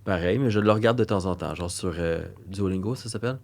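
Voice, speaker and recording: Low-voiced Québécois man, rambling. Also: quick pace, darkish tone. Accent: Québécois French. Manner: rambling, earnest, trails off mid-thought. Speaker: podcast co-host, coach. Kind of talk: casual chat.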